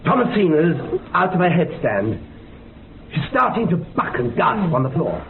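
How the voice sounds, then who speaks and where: An actor playing a brisk, authoritative researcher who questions and directs in a radio drama, on a tinny recording. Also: male voice, on the high side, sing-song, creaky, a crowd in the background, full character voice. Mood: intent. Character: male researcher, brisk, probing investigator reviewing tapes. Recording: tinny band-limited noisy radio recording.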